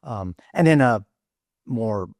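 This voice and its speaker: A man with a fairly low voice talking in a relaxed podcast conversation. He thinks aloud, restarting phrases and trailing off. The sound is dry and close. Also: no room sound. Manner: thoughtful, halting, many restarts. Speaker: podcast panelist. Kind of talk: casual discussion. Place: studio in Rome.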